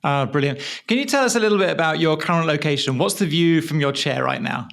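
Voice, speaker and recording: Upbeat higher-voiced male podcast host. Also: lively intonation, vocal fry, fairly bright tone. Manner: upbeat, quick questions. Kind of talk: interview and scripted intro.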